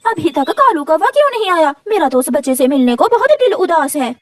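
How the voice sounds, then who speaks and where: A woman's voice: high-pitched, very animated, a little fast, dry recording. A woman voicing an emphatic, bossy female crow who drives a bargain in a children's cartoon. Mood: pleading. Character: bargaining female crow, pushy, sharp-tongued, later motherly. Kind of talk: children's cartoon story voice acting.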